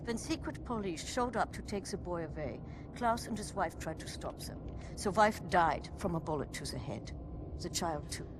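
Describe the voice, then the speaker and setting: An actress playing a sombre, hardened resistance leader in a game, speaking in measured, grave, clipped phrases. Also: female voice, lowish voice, expressive, background noise, lightly acted. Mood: sorrowful, grave.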